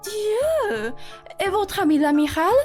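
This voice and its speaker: High-voiced, effusive rich society lady acted by a woman. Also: big pitch swings, slow pace, darkish tone, faint music behind, exaggerated voice. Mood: dramatic dismay. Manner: theatrical sighs, grand and gracious phrasing. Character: rich, effusive aristocratic lady, bored and scheming. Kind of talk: student radio play acting.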